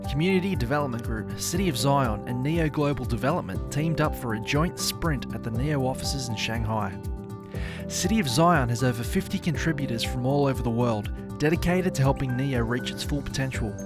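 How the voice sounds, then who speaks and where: A man delivers scripted narration at a steady, measured pace. Guitar music plays clearly underneath his voice. His pitch sits in an ordinary middle range.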